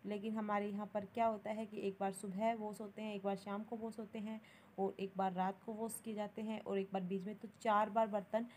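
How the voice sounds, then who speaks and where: Young woman vlogger, mellow and even voice-over, plain and matter-of-fact, slight background noise. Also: mid-range voice, brisk. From Haryana, India. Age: young adult. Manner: plain, matter-of-fact, mixing in English words. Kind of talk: casual voice-over narration of daily routine.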